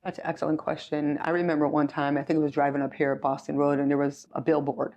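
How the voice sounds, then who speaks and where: Sixty-year-old woman, even-toned interview guest. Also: deep voice, fast, lo-fi sound. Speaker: chief executive, podcast guest. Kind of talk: conversational interview storytelling.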